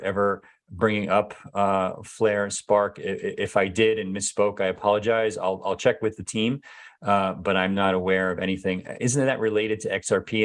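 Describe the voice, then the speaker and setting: A man, a company executive, answering viewer questions in a casual live Q&A, hesitant with many fillers and restarts, his voice going hoarse. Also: on the low side. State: losing his voice.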